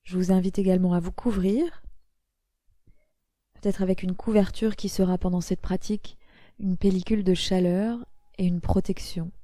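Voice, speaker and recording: A woman guiding a relaxation meditation in a soothing, gentle way, leaving pauses between her invitations. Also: on the low side, steady tone, no room sound. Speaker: yoga nidra guide. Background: meditative music with ringing tones.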